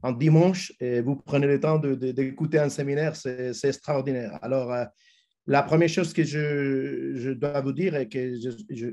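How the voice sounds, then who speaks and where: A man with a Spanish accent, Spanish being his first language, giving a church webinar presentation over a video call, halting with many restarts. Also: mid-range voice, fairly even intonation, warm tone. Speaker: youth ministry speaker.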